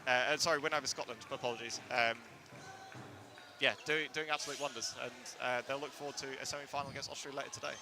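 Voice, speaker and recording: A man doing live play-by-play commentary at an indoor court, talking into a headset in the commentary box, often correcting himself and rising with excitement at big plays. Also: mid-range voice. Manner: rapid play-by-play with self-corrections and sudden excited rises. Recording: noisy hall with ball bounces.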